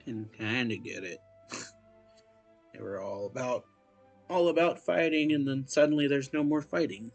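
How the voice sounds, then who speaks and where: A man giving casual, laid-back gaming commentary as a reaction streamer, halting and trailing off. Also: medium pitch, soft background music.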